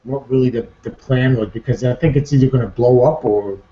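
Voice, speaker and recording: A man's voice: mid-range voice, a little fast. A man co-hosting a casual online talk show, chatting informally and in a subdued way over a muffled internet call. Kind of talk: casual two-person chat. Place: indoor room, online video hangout. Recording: muffled, echoey, faintly noisy online call.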